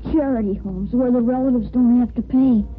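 A woman playing a brave, wistful twelve-year-old orphan boy in a 1950s radio soap, earnest and halting. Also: a little high, steady tone, close to an everyday voice. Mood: sadly resigned. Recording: muffled old radio broadcast, faint music.

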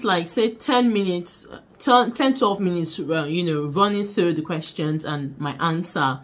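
A young woman with a Nigerian accent, telling a story to camera in a small bedroom in an animated, dramatic way. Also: fairly low pitch. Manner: animated, dramatic, laughing, mixing in pidgin. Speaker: vlogger. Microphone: camera in front at desk distance.

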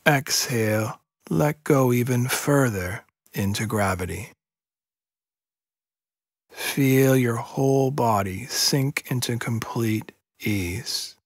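Casting: male yoga nidra guide, very slow delivery, soft husky tone, soothing and unhurried, close dry sound. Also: mid-range voice, no room sound. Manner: slow, hushed, soothing, measured instruction with long pauses. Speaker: meditation guide.

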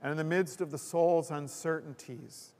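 An older man, a pastor in his sixties or beyond, delivering a sermon in a measured, reflective, reverent way at a church lectern microphone. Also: a little high, fairly even intonation, darkish tone. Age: 60s or older. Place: indoor church, at a pulpit. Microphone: gooseneck lectern microphone. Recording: long echoing hall reverb.

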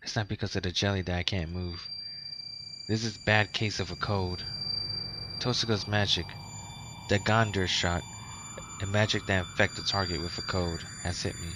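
A man's voice: low-pitched, fairly even intonation, slow, pauses often, background noise. A man streaming a game, reading its text aloud and reacting casually. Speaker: streamer playing a visual novel. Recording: game music behind the voice. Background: game music.

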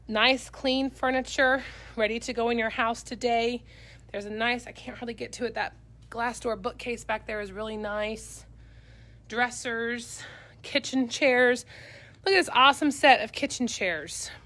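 An upbeat woman with a Midwestern accent, her voice sitting a little high, chats with live viewers as she walks a cluttered warehouse. She is recorded on a handheld phone. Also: sharp tone. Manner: upbeat, chatty, talking to live viewers while walking. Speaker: auction company staff. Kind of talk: live walkthrough of auction lots. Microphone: handheld phone camera.

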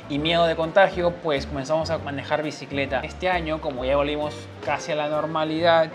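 A man in his thirties vlogging at home, chatting to the camera and explaining his monthly costs to viewers. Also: on the high side. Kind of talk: casual vlog talking to camera. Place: small room at home, indoors.

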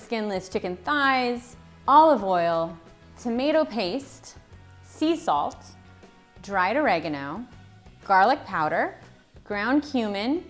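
A woman in her thirties hosting a cooking tutorial in a home kitchen, cheerfully listing ingredients one after another. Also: mid-range voice. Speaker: cooking channel host. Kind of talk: recipe tutorial with voice-over.

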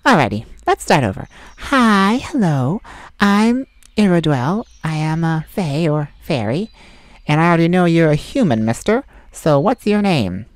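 A woman's voice: low-pitched, sing-song, a little slow, no echo. A sassy male forest fae. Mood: sassy teasing. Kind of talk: ASMR roleplay.

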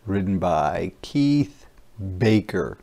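A narrator reading a children's picture book aloud in an expressive, deliberate, dramatic way. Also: low-pitched, vocal fry.

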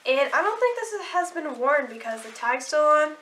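A woman's voice: high-pitched, very animated, moderate pace, fairly bright tone, roomy sound, a little hiss or hum. A teenage girl with a sore throat and stuffy nose chatting casually to camera about clothes, bubbly and full of likes and restarts. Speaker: vlogger. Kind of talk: casual haul video chat.

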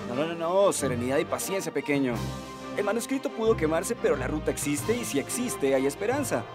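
A man's voice: fairly high pitch, animated, fairly quick, close to an everyday voice. A man playing a sensitive, hopeful Colombian radio detective in a comic radio drama. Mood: earnest. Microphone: studio broadcast mic. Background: music.

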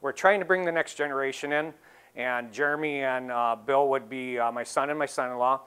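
A middle-aged dairy farmer giving a casual talk in a large exhibition hall, easygoing and full of "you know" fillers. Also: male voice, medium pitch, steady tone, brisk, fairly bright tone, clear, resonant tone. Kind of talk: casual talk to a seated audience.

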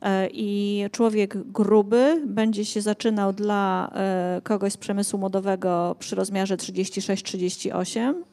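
A woman in her thirties hosting a book interview on a bookshop stage, asking measured, polite questions into a handheld mic. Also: medium pitch, steady tone, dark tone. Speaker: interviewer host. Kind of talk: conversational interview. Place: bookshop cafe stage, indoors.

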